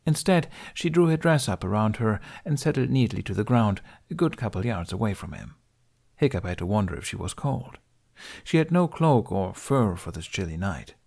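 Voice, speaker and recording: A man reading an audiobook story as narrator, in expressive scripted narration. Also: fairly low pitch, normal speed, creaky.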